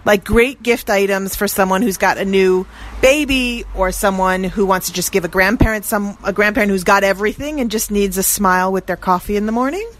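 A woman's voice: medium pitch, brisk. A woman interviewing a shop owner in her store, chatty and enthusiastic. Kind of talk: casual interview. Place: gift shop.

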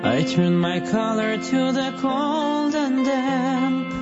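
A woman sings a slow ballad in a high voice, holding each line long. Loud plucked guitar plays under her. The recording is dull and lo-fi. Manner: drawn-out, sustained singing.

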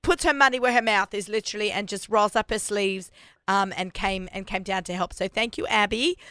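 A woman hosting a radio show, chatty and enthusiastic, with a hoarse voice, talking in a studio. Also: medium pitch, fairly quick, sharp tone, no echo. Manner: enthusiastic, chatty, laughs often. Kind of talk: casual radio chat.

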